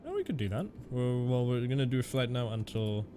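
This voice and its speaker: A man's voice: medium pitch, sing-song, a little fast, noisy background. A young German man with a Canadian-German accent, chatting casually on a flight sim stream and answering his viewers. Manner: chatty, reads chat aloud and answers viewers. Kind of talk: casual live-stream commentary.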